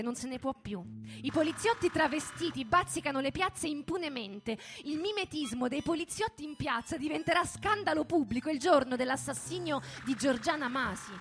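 A woman giving a dramatic scripted reading as in radio theatre, in measured phrases with deliberate pauses. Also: on the high side. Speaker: narrator, theatre performer. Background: music.

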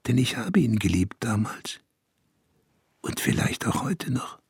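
An actor playing a wistful, bitter man in a first-person radio drama, speaking in a measured, intimate way. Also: mid-range voice, very animated, vocal fry, slightly rough, dry recording, clean studio sound, heavily acted. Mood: tender, subdued. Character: wistful man recalling a lost idol, brooding and bitter.

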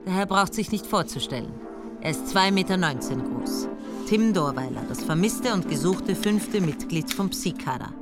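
An actress playing a calm, dry female police investigator who also narrates in the first person, speaking in a measured, firm way. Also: lowish voice, over loud music, close to an everyday voice. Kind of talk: German radio drama acting with first-person narration.

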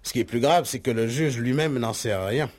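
A man's voice: medium pitch, fairly quick, darkish tone, natural voice. A man acting in a French radio play as an actor who plays a police doctor, bemused and wary. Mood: grave, explanatory.

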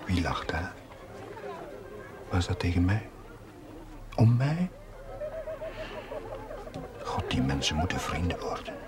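An actor playing an anxious young priest in a brooding, halting inner monologue for a television drama. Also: male voice, deep voice, very animated, heavily acted. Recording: loud music and crowd noise behind. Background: music and crowd.

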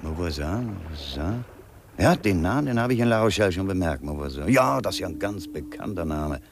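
An actor playing a kindly, gruff middle-aged ship's captain in a 1950s German radio drama, musing and hesitant. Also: male voice, sits low, animated, fairly quick, a little hiss or hum. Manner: hesitant, musing, repeats himself.

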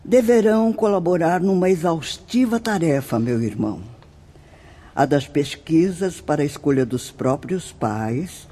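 A man's voice: fairly high pitch, mellow. An actor with a Brazilian accent playing a solemn, fatherly spirit mentor who lectures formally and emphatically in a radio drama. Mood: earnest, instructive. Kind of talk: radio drama acting, didactic.

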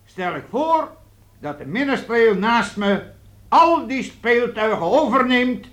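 A hearty guild captain. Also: male voice, very high voice, sing-song, slow, fairly bright tone, full character voice. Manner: hearty, boisterous toasting. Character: jovial burly guild captain, loud and hearty. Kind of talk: radio play acting. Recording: music playing behind.

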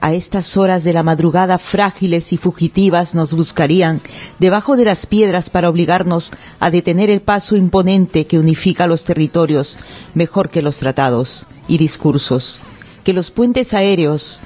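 A woman's voice: fairly low pitch, steady tone, normal speed, darkish tone. A woman speaking on a radio show, in a poetic recitation or as a panelist.

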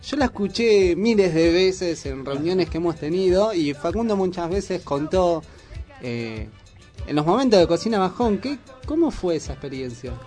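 Argentine guy hosting a radio show, quite high voice, chatting casually, bit of music under him. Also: lively intonation, normal speed, darkish tone. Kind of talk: casual live radio chat. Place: radio studio.

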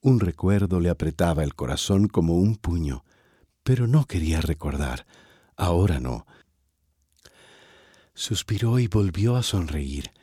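Costa rican man narrating a radio story, low husky voice. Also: animated, unhurried, pauses often, warm tone, no echo, studio quality.

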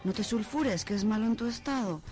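A woman's voice: medium pitch, a little fast, loud music behind the voice, lightly acted. A woman playing a slangy, practical young student in an amateur radio soap, with a Spanish accent. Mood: reassuring, worried. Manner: colloquial, slangy. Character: chatty young university student woman, practical loyal friend.

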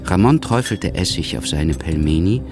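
An actor narrating a sombre literary radio drama in a sober, scripted manner. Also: male voice, low-pitched, expressive, normal speed, over music.

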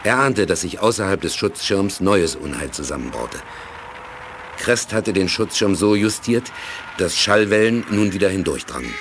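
A man's voice: sits low, expressive, moderate pace, background noise. A man narrating a 1980s German science fiction radio play in a scripted storytelling style.

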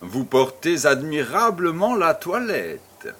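A man's voice: on the high side, huge pitch swings, slow pace, a bit bright, husky, heavily acted. A man playing a worldly, patronising baron in a French audio drama, with a whimsical drawl. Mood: breezy, amused. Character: worldly male baron, suave, breezy and patronising.

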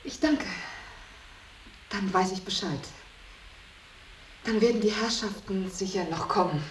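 A woman's voice: mid-range voice, unhurried, lightly acted. Polite lady in a roomy space acted. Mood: polite, composed. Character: polite, reserved lady visiting a restaurant. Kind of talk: 1980s German radio drama acting. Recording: roomy sound, faint tape hiss.

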